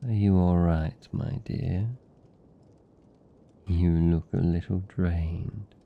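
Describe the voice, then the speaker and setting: A man voicing a possessive, doting vampire captor in an intimate ASMR roleplay, with a British accent and a slow, murmured, coaxing delivery. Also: little pitch movement, creaky, heavily acted. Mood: concerned, teasing. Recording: muffled, rumbly, faint background noise.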